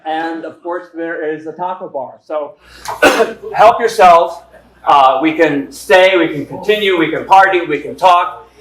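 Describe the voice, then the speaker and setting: A man hosting a memorial gathering who speaks in a relaxed, chatty way as he thanks everyone and invites them to stay. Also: on the high side, a little slow. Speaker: event organizer. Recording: echoey room with background noise.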